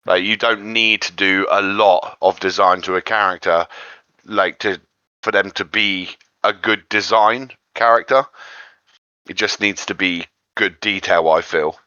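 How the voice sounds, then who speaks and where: A man's voice: low-pitched, steady tone, a little slow, bright, forceful tone, clean tone, no echo. A man chatting casually as co-host of a geek culture discussion show, on a lo-fi recording. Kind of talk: casual chat. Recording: dull lo-fi voice recording.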